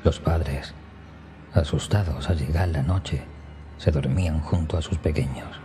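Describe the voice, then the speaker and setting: A man narrating a scripted first-person horror story in a hushed, breathy manner that often nears a whisper, with music behind him. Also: extremely low pitch, measured pace. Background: background music.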